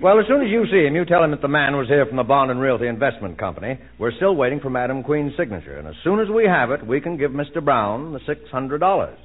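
Quick polite business man, tinny old radio comedy. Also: medium pitch, sing-song. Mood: brisk, polite. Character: brisk, businesslike investment company man. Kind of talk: 1940s-50s American radio comedy acting. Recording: tinny, band-limited old radio recording.

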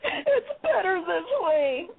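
A woman's voice: very high-pitched, sing-song, takes it slowly, creaky, heavily acted. A woman acting a despairing young woman who is crying, in a live radio play. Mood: distraught. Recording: tinny phone-line radio broadcast.

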